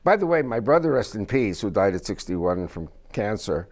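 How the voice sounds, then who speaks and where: Older man whose first language is Spanish, a joking storyteller guest speaker with a somewhat low voice. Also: big pitch swings, moderate pace. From United States. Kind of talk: informal talk to a small group.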